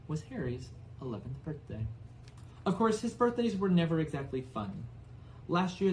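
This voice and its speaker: A man reading a storybook aloud as narrator in an expressive style. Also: mid-range voice, slight background noise, low-quality recording. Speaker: audiobook narrator.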